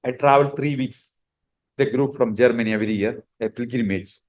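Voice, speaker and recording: A middle-aged man, a yoga and Vedanta teacher giving a spiritual lecture in unhurried, halting phrases with frequent pauses. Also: medium pitch.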